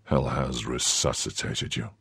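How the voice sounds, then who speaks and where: A man voicing a stern, brooding nobleman who speaks slowly, lowly and menacingly in a quiet, husky voice. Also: steady tone, no echo, clean studio sound, full character voice. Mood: coldly menacing. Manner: slow, low and menacing, quietly bitter. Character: stern brooding male nobleman, cold and grave.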